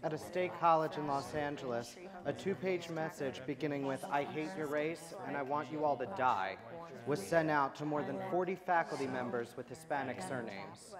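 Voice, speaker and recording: Very low-voiced young woman reciting on stage. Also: moderate pace, crowd noise. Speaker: student stage performer. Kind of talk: scripted readers theater recitation. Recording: reverberant hall with audience noise.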